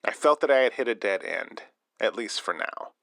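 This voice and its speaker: A man's voice: on the low side, expressive, normal speed, gravelly, a bit bright, no room sound, studio quality. A man playing an earnest radio reporter, narrating a scripted investigative story for a radio drama. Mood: measured, suspenseful. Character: earnest male radio reporter probing an eerie death, late 1980s. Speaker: reporter and radio show host.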